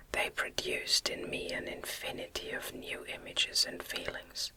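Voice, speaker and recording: A woman reading a classic novel aloud in an ASMR whisper, with measured, careful delivery. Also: pushed and bright, rough recording. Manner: measured, careful literary narration. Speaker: audiobook reader.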